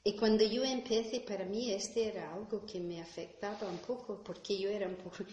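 A woman's voice: medium pitch, fairly even intonation, a little fast, darkish tone, roomy sound, muffled recording, slight background noise. A woman from Glasgow, a native English speaker, giving a reflective Buddhist talk in her second language, checking in with tag questions and sometimes searching for a word. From Scotland, Glasgow. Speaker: Buddhist teacher. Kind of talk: dharma talk.

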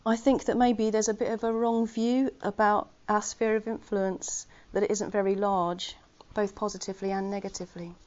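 A woman's voice: mid-range voice, darkish tone. Earnest, chatty woman giving a Dharma talk. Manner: chatty and earnest, with self-deprecating humour. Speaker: Buddhist order member giving a talk. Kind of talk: informal Dharma talk to a gathering. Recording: slightly muffled recording.

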